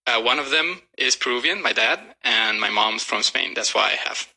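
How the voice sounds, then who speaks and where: A man's voice: medium pitch, animated, normal speed, bright, forceful tone, poor audio. A man giving short, matter-of-fact answers as a guest in an English class.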